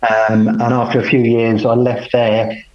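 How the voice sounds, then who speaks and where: A middle-aged man, speaking at an unhurried pace with a plain, self-deprecating manner. He is calling in from home, so the voice comes through a roomy space. The call audio is dull and muffled. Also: mid-range voice, completely flat delivery, darkish tone. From England. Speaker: podcast guest. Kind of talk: casual conversation, telling his life story. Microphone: remote call.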